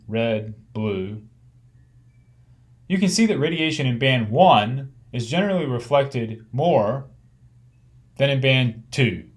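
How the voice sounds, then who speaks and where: A man with a medium-pitched voice delivers a narrated slide lecture. His manner is instructional and explanatory. He phrases each point in a measured way, as a lecturer does for a class.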